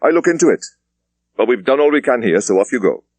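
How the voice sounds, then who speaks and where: Man acting brisk senior British police superintendent. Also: medium pitch, lively intonation, darkish tone, no room sound, voice pushed up, close to an everyday voice. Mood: doubtful. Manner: clipped, businesslike speech.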